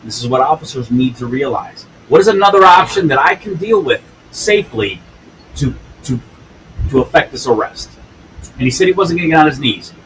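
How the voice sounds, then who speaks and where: A blunt, earthy man in his fifties explains police work in an interview. He tells stories plainly at a middle pitch and keeps checking in with "right?". A faint background noise sits under his voice. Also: animated, a little fast, a bit bright, rough recording. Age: 50s. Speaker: police use-of-force instructor. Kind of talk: storytelling in an interview.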